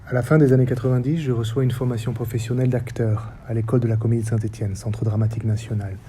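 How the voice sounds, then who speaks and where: A man in his forties from the French Basque Country, with a faint Basque accent, giving a reflective public talk as a stage director in measured, short phrases. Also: mid-range voice, steady tone, dark tone, a little hiss or hum. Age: 40s. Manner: measured, list-like delivery with short paused phrases. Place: theatre stage or hall.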